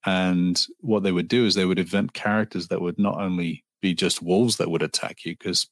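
A man in his forties with a Liverpool accent and a low, deep voice, telling old folk legends as a guest. He talks at an easy pace, loops back with ums and restarts, and the sound is dry and close with no room behind him. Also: steady tone, moderate pace, no room sound. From Liverpool, England. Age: 40s. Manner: storytelling, with frequent ums and restarts. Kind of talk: conversational interview.

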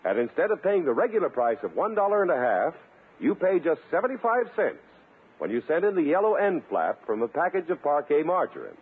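Animated American male radio announcer. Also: on the high side, fairly quick, slightly rough. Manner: polished, emphatic salesmanship. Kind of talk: 1950s radio commercial, scripted sales pitch. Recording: narrow, scratchy 1950s radio broadcast.

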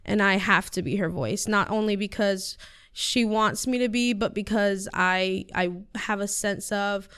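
A woman's voice: mid-range voice, steady tone, measured pace, fairly bright tone, smooth. A teenage girl whose first language is Spanish, answering interview questions earnestly, with many fillers and restarts. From United States. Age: teens. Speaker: high school student guest.

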